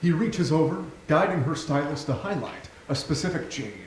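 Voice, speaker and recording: A man with a slightly high voice narrates scripted stage directions. He sounds like a reader at a live play reading. The room is echoey, with a faint layer of noise. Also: normal speed. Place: theatre stage. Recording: roomy theatre recording with faint noise.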